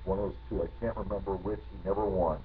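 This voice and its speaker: A man's voice: deep voice, fairly even intonation, quick pace. A middle-aged man from New Jersey, a guest composer telling rambling, reflective anecdotes with many restarts. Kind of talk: storytelling interview answers. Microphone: video call. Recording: tinny lo-fi line with faint noise.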